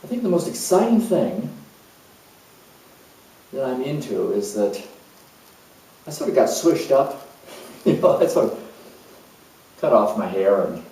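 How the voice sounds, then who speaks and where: A man's voice: fairly low pitch, warm tone, slight background noise. A man playing an eccentric, scatterbrained, idealistic nonconformist in a rambling, emphatic theatrical monologue. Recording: very echoey room, far from mic.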